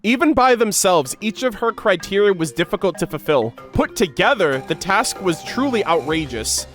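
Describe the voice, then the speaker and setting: A man with a notably high voice reads game lore aloud as a reaction host. His delivery is expressive and moves between narration and casual, slangy commentary. Music plays behind him. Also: normal speed.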